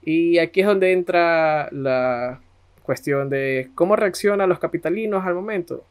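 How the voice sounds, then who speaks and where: A man's voice: fairly high pitch, measured pace, clear, resonant tone, faint music behind. A man co-hosting a podcast, telling a story in a conversational way. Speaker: podcast co-host.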